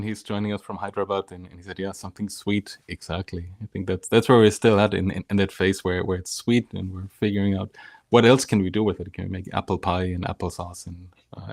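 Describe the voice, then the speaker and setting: A man's voice: on the low side, no echo, studio quality. A man hosting a live show, presenting with upbeat energy and welcoming his guest. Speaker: host.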